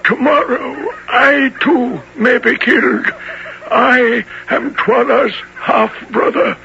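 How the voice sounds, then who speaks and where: A man acting a kindly, mournful old African nobleman in a radio drama, speaking slowly in archaic, formal phrases. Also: very high voice, vocal fry, bright, forceful tone, full character voice. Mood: grave. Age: older. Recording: dull, noisy old radio broadcast.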